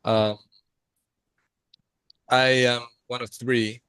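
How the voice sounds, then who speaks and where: Bright-voiced Chilean man, dry and close. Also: medium pitch, flat delivery, very slow, clear, resonant tone, dry recording. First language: Spanish. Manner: friendly, frequent ums and uhs. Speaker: podcast guest. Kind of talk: casual interview storytelling.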